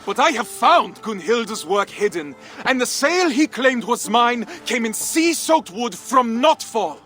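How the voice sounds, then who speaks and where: Fiery Viking warrior, rousing. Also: male voice, extremely high pitch, sharp tone, exaggerated voice. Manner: declamatory, rousing. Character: proud, determined Viking trader and warrior. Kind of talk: dramatic video game acting.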